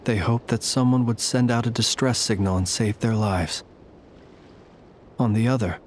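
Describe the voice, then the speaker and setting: A man's voice: fairly low pitch, a little mellow, a little hiss or hum, natural voice. A man voicing a solemn, courtly lantern-bearer. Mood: sorrowful. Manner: formal, measured, poetic. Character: courtly male lantern-bearer who lays ghosts to rest, solemn and formal. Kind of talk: video game voice acting.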